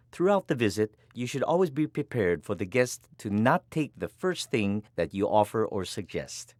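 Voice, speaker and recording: Man narrating a training film with lively intonation. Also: fairly low pitch, no room sound, studio quality. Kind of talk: scripted instructional narration.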